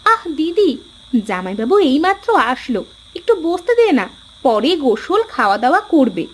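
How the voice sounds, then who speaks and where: A woman's voice: high-pitched, sing-song, moderate pace, slight background noise. A woman voicing an outspoken, well-off younger sister in a cartoon, pert and chiding. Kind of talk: cartoon dialogue acting.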